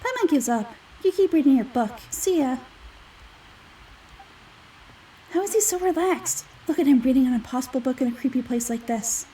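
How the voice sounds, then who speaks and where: A woman voicing a small, childlike, excitable fairy guide from a video game, whiny and exclamatory, speaking of herself in the third person. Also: high-pitched, a little fast, husky, far from the mic, poor audio. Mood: exasperated.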